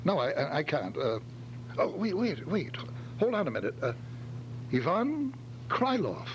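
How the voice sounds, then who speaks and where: A man's voice: a little high, very animated, a little slow. A man playing an easily startled, well-off businessman with a hesitant, stammering delivery in an old-time radio detective drama. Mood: anxious recalling. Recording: faint hum and radio-like background noise.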